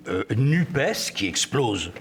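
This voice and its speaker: A man's voice: lowish voice, very animated, slow pace, creaky, slightly rough. A man speaking as a guest in a televised political debate, emphatic and sardonic as he argues. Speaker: panel guest.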